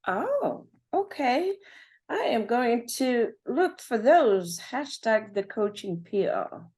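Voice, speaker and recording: A woman hosting a podcast interview, reacting to her guest with animated exclamations. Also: on the high side, takes it slowly. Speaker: podcast host.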